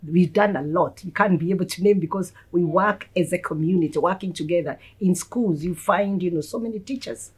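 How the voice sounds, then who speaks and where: A middle-aged woman from Kenya, speaking as a local councillor in an earnest, measured way with many "you know"s. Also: fairly low pitch, slightly rough. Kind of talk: panel discussion.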